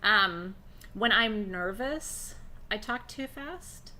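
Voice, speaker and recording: A middle-aged woman with a naturally low voice teaching a relaxed, conversational class on spiritual practice, pacing her words deliberately. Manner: conversational, intentional pacing, laughs often. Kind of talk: live online class on shamanic drumming.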